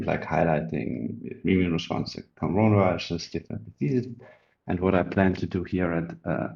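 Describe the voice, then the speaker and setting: A man's voice: low voice, vocal fry, darkish tone, highs cut off. A man in his thirties from Austria with an Austrian German accent, earnestly explaining his immunology research with many ums and uhs. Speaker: immunology researcher, group leader. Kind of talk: self-introduction explaining research.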